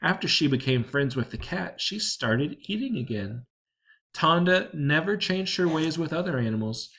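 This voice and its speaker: Storyteller with lively, swooping pitch. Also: normal speed. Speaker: story reader. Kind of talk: children's picture book read aloud.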